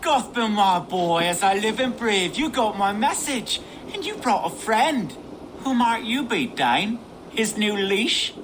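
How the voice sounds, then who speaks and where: An actor playing a sly older bandit gang boss, theatrical and taunting with false geniality, in a video game cutscene. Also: male voice, high-pitched, sing-song, takes it slowly, background noise, full character voice. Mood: mock welcome.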